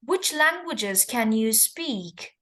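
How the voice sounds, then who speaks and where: Woman, exam interlocutor, high pitch, unhurried questions. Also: expressive, a bit bright, slight background noise. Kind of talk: mock speaking test.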